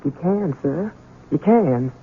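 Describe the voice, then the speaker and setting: A woman's voice: sits low, animated, slow, breathy edge, exaggerated voice. A woman acting in a 1970s radio drama as an older, anxious housekeeper and protective mother, with a humble, folksy manner. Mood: anxious, pleading. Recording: tinny old radio broadcast.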